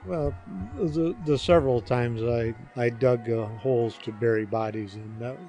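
An older man giving casual interview answers in a halting, dryly joking way. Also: mid-range voice, fairly even intonation, mellow, soft background music. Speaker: interview guest, father of the hosts.

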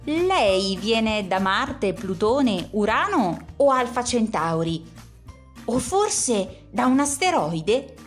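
A woman's voice: fairly high pitch, big pitch swings, takes it slowly, faint music behind, voice pushed up. A woman voicing a polite, curious schoolboy who fires off eager questions.

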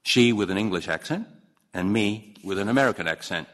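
A man gives an earnest, measured ceremonial speech. His voice sits very low. It rings with the long reverb of a large courtroom, caught on a podium microphone. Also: animated, fairly bright tone. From Hong Kong. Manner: measured and earnest, with occasional slips and restarts. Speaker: newly appointed judge giving a speech.

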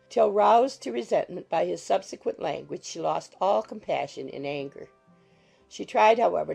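A woman's voice: fairly low pitch, gravelly, dark tone, breathy edge. A woman reading scripted literary narration as an audiobook narrator.

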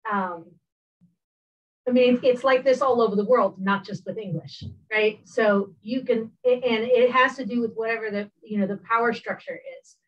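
Woman with a Spanish-speaking accent, distant and muffled. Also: on the high side. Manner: reflective, hesitant, many "you know"s. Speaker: workshop participant. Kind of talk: casual discussion on a video call. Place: home office. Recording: muffled, distant webcam audio.

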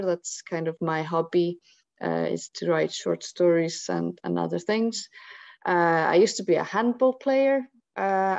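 An Icelandic woman with a low, gravelly voice, pausing often to think as she presents a webinar. The sound is a dry, dull video call recording. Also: a little mellow, no echo. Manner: thoughtful, many hesitations. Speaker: guest speaker.

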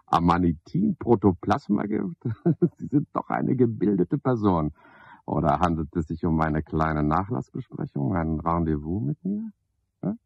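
Eccentric sardonic man in a radio play, husky, very dry close sound, rambles to himself and swoops around a lot. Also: lowish voice, dry recording, muffled recording, exaggerated voice. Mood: mocking, indignant. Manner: sardonic, brooding, musing aloud in fragments.

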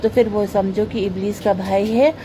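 A woman's voice: medium pitch, fairly even intonation, normal speed, background noise. A woman vlogger, giving an earnest, preachy monologue in a carpeted room at home. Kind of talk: moralizing monologue to camera. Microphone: phone camera pointed at the floor.

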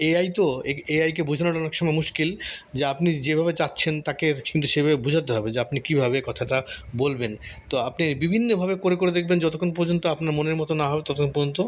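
A man hosting a casual screen tutorial, thinking aloud and repeating words slowly as he types. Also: fairly high pitch. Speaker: tutorial host. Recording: clear background noise.

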